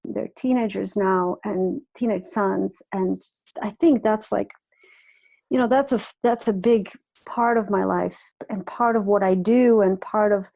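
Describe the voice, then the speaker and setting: A woman in her forties, originally from Croatia, chatting as a podcast guest. Her voice has a gravelly edge and she talks warmly, restarting sentences as she goes. She sits at medium pitch for a woman. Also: rough recording. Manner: warm, chatty, frequent restarts and laughs. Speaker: guest, music artist and life coach. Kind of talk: casual podcast interview.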